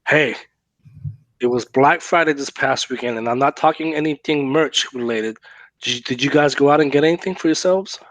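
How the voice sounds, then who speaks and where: Podcast co-host, man, raspy worn voice, teasing banter, dry close mic. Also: medium pitch, normal speed, dry recording, rough recording. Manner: teasing, joking, animated. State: raspy voice from a cough. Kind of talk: casual chat.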